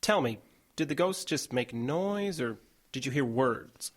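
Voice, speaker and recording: A man's voice: mid-range voice, animated, unhurried. An actor playing a matter-of-fact man running a radio theater rehearsal, direct and natural in audio drama style. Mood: serious.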